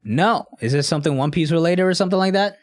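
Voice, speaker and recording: A man's voice: a little high, fast, dry recording, studio quality, faint music behind. A young man casually chatting with a live stream audience in a laid-back, slangy, rambling way. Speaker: streamer answering live chat.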